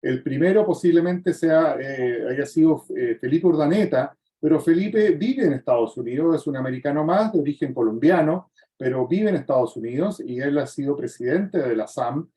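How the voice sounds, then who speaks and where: A man's voice: on the high side, lively intonation, moderate pace, warm tone. A man, moderating a webinar and giving closing remarks with frequent hesitations. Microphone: video call.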